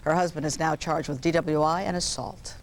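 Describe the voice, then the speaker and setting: A woman's voice: low voice, steady tone, a little fast, slight background noise. A woman reading scripted news as a television anchor. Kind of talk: scripted studio newsreading.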